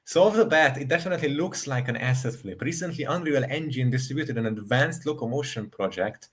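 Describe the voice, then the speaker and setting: A man's voice: medium pitch, a little fast, a bit bright. Emphatic man explaining on a panel. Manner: emphatic, explanatory, repeats key points. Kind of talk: opinionated live panel discussion about gaming.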